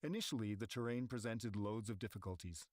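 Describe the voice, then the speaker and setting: Low-voiced male documentary narrator. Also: dry recording, clean studio sound. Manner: measured, steady. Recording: voice-over with background music.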